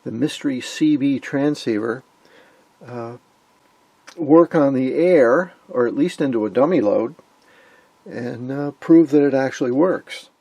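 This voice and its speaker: A man with a gravelly, creaky voice narrates informally as the host of a hobby channel. He sounds keen on his subject and drops in wry asides. The sound is dry, with no room echo, and his pitch sits in an average male range. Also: a little slow, warm tone. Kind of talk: informal narration on vintage radio gear.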